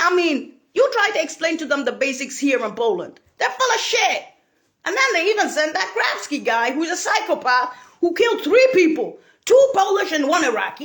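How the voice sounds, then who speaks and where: A woman from Italy, rambling in a profane monologue, laughing and bursting into shouts. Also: extremely high pitch, very animated, fairly quick.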